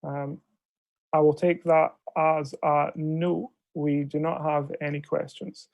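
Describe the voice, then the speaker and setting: A man with a fairly high voice that keeps breaking into a gravelly fry, chairing an online debate. He hesitates politely between phrases, and the sound is dry, from a video call mic. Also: flat delivery, slow pace, no echo, highs cut off. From Scotland. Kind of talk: procedural briefing on a video call. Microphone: video call microphone.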